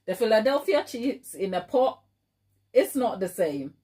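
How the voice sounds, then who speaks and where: A woman's voice: on the high side, sing-song, unhurried, warm tone. Chatty Ghanaian woman hosting a livestream. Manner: chatty, affectionate, playful, emphatic repetition. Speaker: baking livestream host. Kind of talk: casual live chat with viewers.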